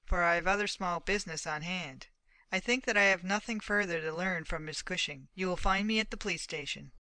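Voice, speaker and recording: A woman reading the part of a brisk, businesslike male police inspector in an audiobook. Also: on the low side, bright, forceful tone, no echo. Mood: dismissive.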